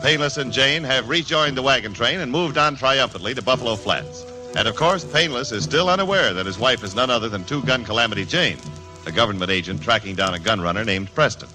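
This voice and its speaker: An actor as a grandiose, tongue-in-cheek announcer giving dramatic scripted narration for a Western radio serial. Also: male voice, mid-range voice, lively intonation, a little fast, music in the background, natural voice, poor audio. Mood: dramatic, wry. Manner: grandiose, storytelling.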